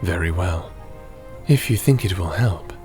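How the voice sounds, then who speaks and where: A man playing a calm, ancient, patient being who speaks in a measured, formal way in an audio roleplay. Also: low-pitched, faint music behind. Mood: grave, patient.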